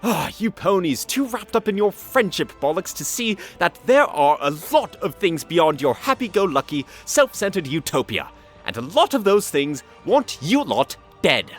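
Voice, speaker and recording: Manic, teasing adventurer stallion, acted for a fan audio story: cocky, hugely varied pitch, light music underneath. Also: big pitch swings, normal speed. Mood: smug, scornful. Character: cocky, flippant, manic male alien-hunting adventurer stallion.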